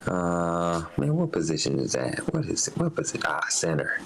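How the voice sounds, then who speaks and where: A man's voice: low voice, wildly swooping pitch, moderate pace, creaky, a little hiss or hum. A man in a live podcast, chatting loosely in slang and reading out player stats. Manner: loose slangy talk, reads stats aloud. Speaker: league member on podcast. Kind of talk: casual banter.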